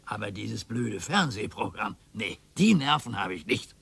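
An actor playing a grumpy, bored older retiree and former master craftsman in a radio play, with a husky, gravelly voice. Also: lowish voice, big pitch swings, measured pace, fairly bright tone, no echo, heavily acted. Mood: defensive. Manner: grumbling and defensive.

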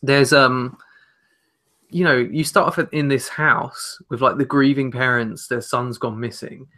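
A young man co-hosting a podcast, talking informally and haltingly about video games. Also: medium pitch, fairly even intonation, bright, forceful tone. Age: young adult. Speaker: podcast co-host. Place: small room at home.

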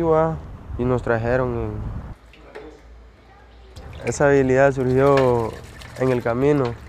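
A man's voice: medium pitch, darkish tone, slight background noise. A man, a migrant who speaks plainly and hesitantly in a documentary interview. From Honduras. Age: 20s. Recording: music underneath.

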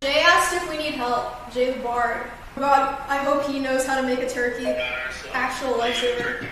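A woman's voice: sits high, fairly even intonation, moderate pace, pushed and bright, a little hiss or hum. A young woman from Kansas, teasing and giving cooking instructions in a home kitchen. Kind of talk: casual banter while cooking. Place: large home kitchen, indoors. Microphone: camera mic across the room. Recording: very echoey kitchen, distant from mic.

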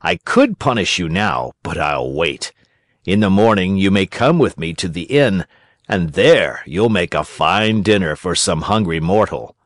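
A man's voice: low-pitched, sing-song, unhurried, dry recording, studio quality. A man voicing a grown farmer who talks in a hearty, self-satisfied, kindly way in a storybook reading. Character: grown male farmer, gruff but pleased and kindly.

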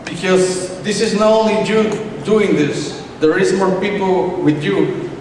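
A man's voice: high-pitched, measured pace, distant mic, noisy background. A young man, a learner, gives a prepared speech earnestly and carefully, with some restarts. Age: young adult. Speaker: English language student giving a speech. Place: small classroom indoors, at night. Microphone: room microphone at a distance. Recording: echoey room, picked up from afar.

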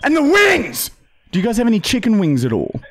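A man's voice: high voice, huge pitch swings, brisk, gravelly, voice pushed up, exaggerated voice. An Australian man playing a polite, rambling, hesitant female customer on a prank phone order. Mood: excited, insistent. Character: chatty, dithering female pizza customer on a prank call.

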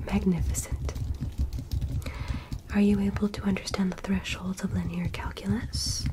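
A woman playing a polite, curious alien doctor in a whispered ASMR medical roleplay, speaking softly and breathily with gentle, formal attentiveness. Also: medium pitch, close to an everyday voice. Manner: gentle, clinical, formally praising.

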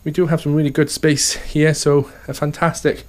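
A man's voice: on the high side, flat delivery, moderate pace. A man, an estate agent, giving an upbeat walkthrough tour of a house, full of sales patter and repeated "okay" and "fantastic".

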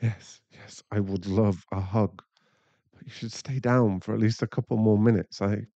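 A man's voice: lowish voice, steady tone, warm tone, dry recording, natural voice. Casting: male actor as anxious, caring werewolf boyfriend for ASMR audio; close, dry, slightly dull recording, soothing and rambling. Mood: relieved, flustered. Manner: halting, rambling, soothing, breathy sighs.

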